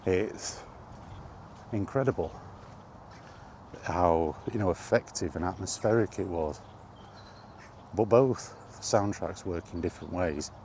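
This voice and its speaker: Middle aged guy, really low relaxed voice, rambly casual gamer chat, bit of background noise. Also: slow pace, vocal fry, long pauses. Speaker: gaming vlogger. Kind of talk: casual rambling chat about retro gaming.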